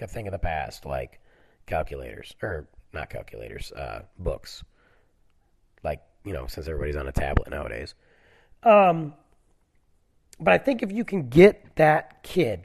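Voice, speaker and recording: A man's voice: on the low side, creaky, darkish tone, husky. A man in his forties, stuffy from a cold, chatting casually on his own and rambling through stories with lots of fillers. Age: 40s. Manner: rambling, digressive, many fillers. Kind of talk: casual solo chat.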